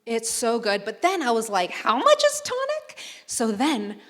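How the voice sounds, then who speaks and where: A woman chatting on a convention panel, telling lively stories and putting on playful voices. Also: a little high, unhurried. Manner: animated storyteller, playful voices. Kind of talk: casual panel chat. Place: large hall on stage. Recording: reverberant hall sound.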